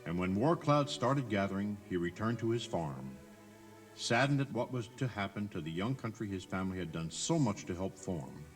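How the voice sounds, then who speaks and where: Guy narrating a tour tape, really animated and theatrical, bit of music behind him, 80s cassette. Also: fairly low pitch, normal speed. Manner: theatrical, emphatic storytelling with rhetorical questions. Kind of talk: dramatized scripted narration.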